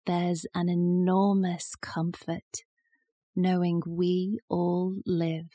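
A woman reciting poetry in a measured, deliberate style. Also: fairly low pitch, flat delivery, warm tone, no room sound. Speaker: poetry reader.

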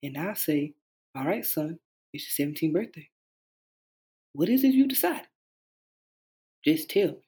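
A man's voice: fairly high pitch, sing-song, measured pace, long pauses, warm tone, husky, heavily acted. A man playing a hopeful working-class father who dreams aloud to his young son in an earnest, folksy stage monologue. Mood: tender, hopeful. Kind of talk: dramatic stage monologue reading.

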